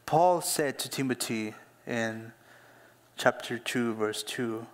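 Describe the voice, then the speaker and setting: A man in his thirties preaching a sermon as a pastor, speaking as an in a slow, emphatic way that builds to louder calls to action. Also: medium pitch. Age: 30s. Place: church hall. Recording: long hall reverb.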